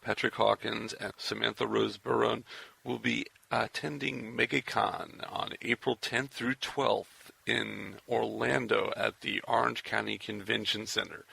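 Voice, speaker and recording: A man with a heavily gravelled, forceful voice hosts a podcast. He speaks straight to his listeners in folksy announcer patter, with a husky rasp running through his delivery. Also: lowish voice. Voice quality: gravelly, raspy. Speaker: podcast host. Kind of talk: interview show hosting and sign-off announcements.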